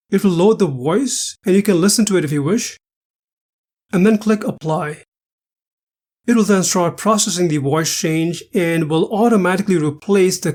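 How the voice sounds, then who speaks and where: A man narrating a scripted software tutorial step by step in a methodical, instructional manner. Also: high-pitched.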